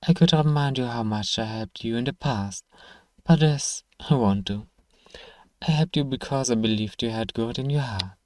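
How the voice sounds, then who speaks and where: Male voice for fantasy ASMR roleplay, gruff but kind apothecary, mid pitch, warm and relaxed, dry close recording. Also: dry recording. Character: gruff but soft-hearted apothecary, reading a letter aloud, exasperated. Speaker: audio roleplay performer. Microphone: close, dry microphone.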